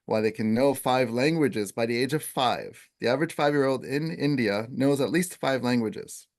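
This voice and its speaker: A man who teaches Ayurveda, explaining in a relaxed, conversational way with plenty of fillers. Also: mid-range voice, moderate pace. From Italian first language. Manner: relaxed, filler-heavy, chants Sanskrit verses.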